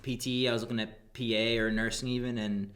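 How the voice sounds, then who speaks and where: Man in his thirties, podcast guest, easygoing, halting with fillers, mid-pitched voice. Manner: relaxed, rambling, many fillers and laughs. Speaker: guest, personal trainer and coach. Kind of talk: casual podcast chat.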